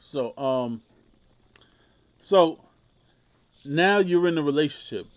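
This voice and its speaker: A man's voice: on the high side, expressive, takes it slowly. A man hosting a radio interview, giving short affirmations and passing on questions from the audience. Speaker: radio show host.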